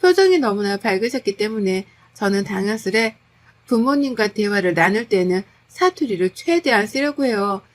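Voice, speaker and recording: A woman reading a scripted first-person story as its narrator. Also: on the high side.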